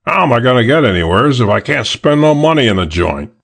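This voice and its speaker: A man's voice: medium pitch, sing-song, a little fast, creaky, slightly rough, pitched above the performer's own voice, full character voice. A man reading an audiobook, voicing a sulky small-time male crook who sneers and whines. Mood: sneering. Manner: sneering, whining.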